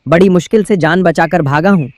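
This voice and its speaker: A man's voice: on the high side, a little fast, no echo, lightly acted. A man voicing a grumbling, henpecked male bird husband in a children's cartoon, with a whining, exasperated manner. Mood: miserable, complaining.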